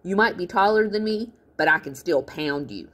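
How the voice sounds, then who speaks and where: Storybook girl, played by a woman: feisty, blunt, grumpy, husky, with expressive pitch. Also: moderate pace. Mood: grumpy, defiant.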